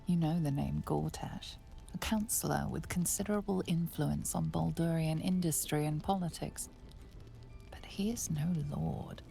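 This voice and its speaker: A woman voicing a solemn, knowing adventurer in a fantasy game, gravelly, measured and formal. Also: sits low, mellow, faint music behind, full character voice. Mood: disdainful. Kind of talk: dramatic video game acting.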